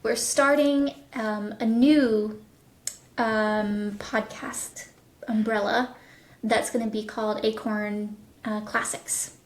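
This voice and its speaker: A woman with a fairly high voice talks casually as an interview guest. She sounds like she is in an echoey room, explaining things in a conversational way as a production's voice director. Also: steady tone, takes it slowly.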